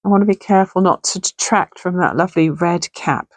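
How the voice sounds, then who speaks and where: A middle-aged woman with a gravelly, hoarse voice, chatting casually and thinking aloud as she makes something at home. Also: fairly low pitch, a little fast, dry recording. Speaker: crafting channel host. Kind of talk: casual crafting tutorial chat. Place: home sewing room.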